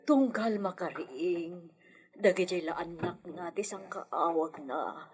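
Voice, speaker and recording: A woman playing a gentle, sorrowful older mother, sighing and plaintive, in an audio drama. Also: fairly low pitch, slow pace. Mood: sorrowful, anxious. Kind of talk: Filipino audio drama acting. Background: music.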